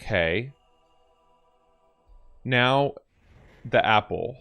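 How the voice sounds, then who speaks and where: A man's voice: medium pitch, lively intonation, extremely slow, a bit bright, clear, resonant tone, soft background music. A man giving casual game reaction commentary, thinking aloud and talking back to the characters. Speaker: gaming video host reacting to cutscenes.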